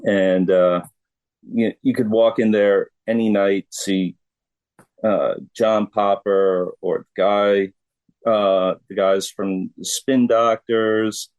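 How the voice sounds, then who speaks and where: Slow-talking, low-pitched middle-aged man. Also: flat delivery, a little mellow, clear, resonant tone, muffled recording. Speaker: interview guest, drummer. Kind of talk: casual interview talk.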